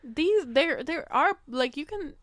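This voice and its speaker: A woman's voice: high-pitched, lively intonation, slow pace, a bit bright, no echo, clean studio sound. A woman co-hosting a casual podcast, talking in a slangy, profane, sardonic way. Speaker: podcast co-host. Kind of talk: casual chat, film discussion.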